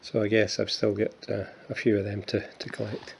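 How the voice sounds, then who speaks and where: A man's voice: fairly low pitch, monotone, a little fast, creaky, slight background noise. A Scottish man in his fifties, chatting casually and with self-mocking humour while showing his coin collection, with plenty of fillers and restarts. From Scotland. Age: 50s. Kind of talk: casual show-and-tell vlog.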